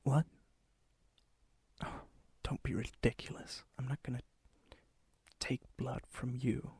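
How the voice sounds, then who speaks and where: A man playing a lonely, remorseful vampire in a whispered ASMR roleplay, with a breathy, gravelly voice and a halting, intimate manner. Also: mid-range voice, flat delivery, mellow, no echo, exaggerated voice. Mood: reluctant, hesitant. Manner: slow, halting, subdued, intimate. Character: lonely, brooding, remorseful vampire, softening toward a stranger.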